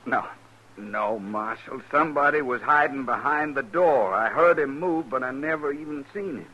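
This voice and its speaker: An actor playing a folksy frontier cowhand in a 1950s radio western. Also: male voice, medium pitch, normal speed, bright, forceful tone, lo-fi sound. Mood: dazed, insistent. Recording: hissy 1950s radio recording.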